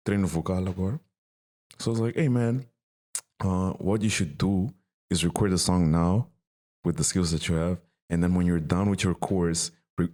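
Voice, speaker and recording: Deep-voiced young man chatting. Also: a little mellow. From Mozambique. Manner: informal and slangy. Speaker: podcast host. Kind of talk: casual chat, switching between languages.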